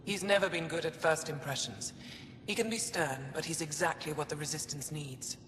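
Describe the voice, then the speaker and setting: An actor playing a weary, steadfast alien resistance commander in a video game, speaking in a measured, formal, earnest way. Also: male voice, on the high side, a bit bright, close to an everyday voice. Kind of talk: dramatic game dialogue. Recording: reverberant with background ambient noise.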